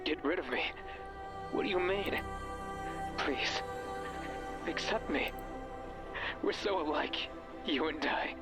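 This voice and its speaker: A man's voice: on the high side, animated, slow, pauses often, over loud music. An actor playing a manipulative, obsessive man's voice from a radio in a horror game, speaking with intimate, insistent, theatrical intensity. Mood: desperate, agitated, then pleading, devoted. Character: manipulative, pleading male voice from a radio, obsessive and sinister. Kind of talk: dramatic horror game voice acting.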